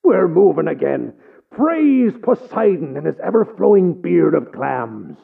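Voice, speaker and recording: A grandly theatrical, superstitious fisherman from a video game. The voice is husky and deeply gravelly, and it comes through thin, like a phone line. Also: big pitch swings, unhurried, full character voice. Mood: reverent. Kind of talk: video game character acting.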